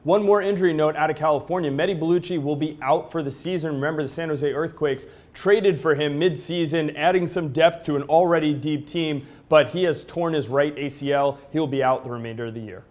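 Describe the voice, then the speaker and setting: A man in his thirties hosting a sports news show, reading the news in a brisk, upbeat anchor style from an office newsroom studio on a lapel mic. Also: fairly high pitch, darkish tone. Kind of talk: scripted sports news presenting.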